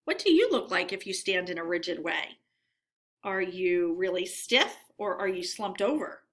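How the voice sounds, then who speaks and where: Bright-voiced woman reading a story aloud. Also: on the low side, lively intonation, normal speed. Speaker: read-aloud narrator.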